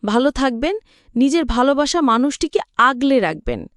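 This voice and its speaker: A woman's voice: high-pitched, big pitch swings, normal speed, fairly bright tone, no echo, clean studio sound. A woman narrating from a script in an earnest, explanatory way, as in a film recap.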